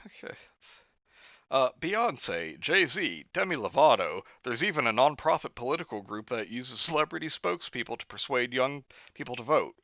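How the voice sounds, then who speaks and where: A man hosting a live stream, reading a book aloud in a flat, mocking way and breaking off for sarcastic asides. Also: mid-range voice, normal speed, no room sound, highs cut off. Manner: sarcastic asides between read passages.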